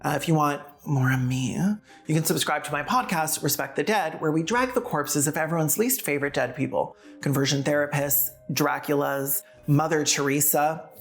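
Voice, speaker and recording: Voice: mid-range voice, moderate pace, soft background music. A video essayist and host delivering scripted satirical news commentary in a sarcastic, irreverent, casual manner.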